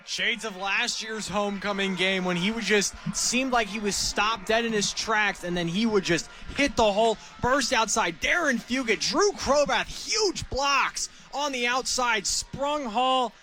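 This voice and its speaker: Male American color analyst for live football, higher-set, forceful bright tone, conversational breakdowns. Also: measured pace, hardly any pauses. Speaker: color commentator, game analyst.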